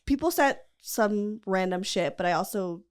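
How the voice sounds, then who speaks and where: Woman host, mid-pitched, chatty and giggly, loose rambling delivery. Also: expressive, moderate pace, smooth. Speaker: podcast host. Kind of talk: casual chat.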